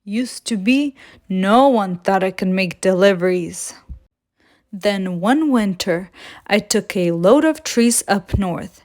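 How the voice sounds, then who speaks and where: A woman's voice: mid-range voice, expressive, slow pace, a little mellow. A woman voicing an easygoing, helpful male delivery truck in a children's storybook, with a folksy manner. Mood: folksy, reflective.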